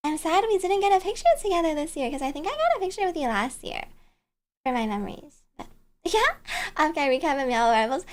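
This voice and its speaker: A woman livestreamer chatting casually and greeting viewers in a bubbly, giggly, cutesy and playful manner. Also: high voice. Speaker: livestreamer, virtual bear-girl host.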